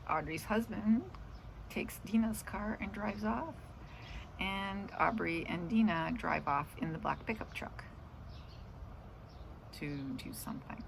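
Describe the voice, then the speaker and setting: A woman's voice: on the low side, slow, vocal fry, pauses often, slight background noise. A middle-aged woman in casual, rambling chat about her day, drifting off into asides. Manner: meandering, trailing off into asides. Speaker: vlogger.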